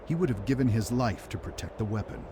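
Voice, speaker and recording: A man's voice: on the low side, lively intonation, natural voice. A man voicing a grave older emperor. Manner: measured, formal. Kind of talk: game cutscene voice acting. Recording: game audio with clear ambient noise.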